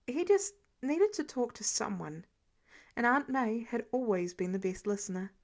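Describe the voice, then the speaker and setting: A woman with a fairly high voice narrates fan fiction. She reads from a script in an expressive storytelling style, as a podfic reader does. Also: normal speed.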